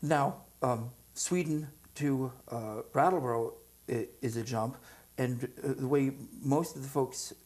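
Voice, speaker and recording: Very deep-voiced, mellow woman host. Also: a little slow. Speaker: interview show host. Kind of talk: conversational interviewing on a local community show.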